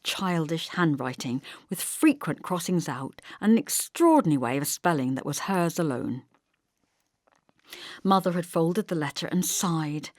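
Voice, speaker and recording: A woman's voice: on the low side, a little mellow, dry recording, studio quality. A woman reading scripted first-person literary narration as an audiobook narrator.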